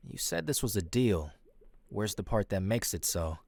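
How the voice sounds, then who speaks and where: Low-voiced roguish young crusader, played by a man. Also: animated, normal speed, a little mellow. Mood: bored, haggling. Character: roguish, money-minded young male crusader apprentice. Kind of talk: medieval fantasy audio drama acting.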